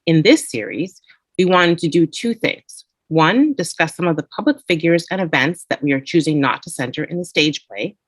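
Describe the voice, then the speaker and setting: Deep-voiced woman, dry close sound. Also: lively intonation, moderate pace, no room sound. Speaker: playwright. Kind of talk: scripted podcast introduction.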